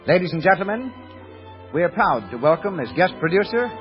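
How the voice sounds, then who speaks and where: A man's voice: sits high, big pitch swings, fairly quick, music in the background. A man with an American accent announcing and selling in polished, emphatic 1930s radio style. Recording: muffled 1930s radio broadcast recording.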